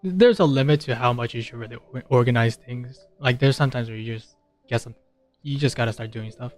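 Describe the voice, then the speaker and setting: A man's voice: medium pitch, fairly quick, soft background music. A man giving casual, rambling commentary as he streams a game, in an offhand way. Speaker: gameplay streamer.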